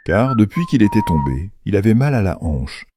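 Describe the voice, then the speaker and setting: A man's voice: fairly low pitch, big pitch swings, moderate pace, background noise. A man narrating a children's fairy tale as a playful, theatrical storyteller. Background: music under the voice.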